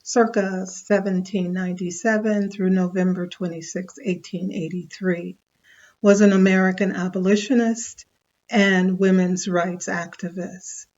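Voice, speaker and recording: A woman storyteller reading a biographical narration in a measured, even way. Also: lowish voice, muffled recording. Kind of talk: read-aloud biographical narration.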